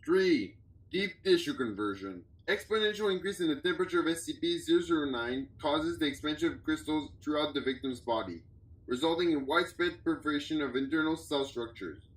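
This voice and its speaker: A man with a voice a little higher than average narrates a scripted report. His delivery is formal and clinical, like an official log being read out. The sound is very roomy and somewhat distant from the microphone. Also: a bit bright. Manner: formal, clinical document reading. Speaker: narrator of a fiction audio log. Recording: roomy, echoey, distant from the mic.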